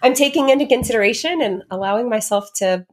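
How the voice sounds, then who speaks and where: A woman's voice: a little high, quick pace. A young woman hosting a podcast, affirming and earnest as she thanks her guest and listeners. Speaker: podcast host. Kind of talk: podcast interview and outro. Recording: faint music behind.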